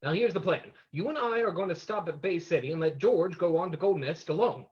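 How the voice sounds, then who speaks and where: Man as a high-voiced husky sleuth, muffled recording. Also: a little fast, a little mellow. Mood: briefing. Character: confident male sleuth, suave and in charge. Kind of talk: old-time radio drama acting. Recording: dull, muffled sound.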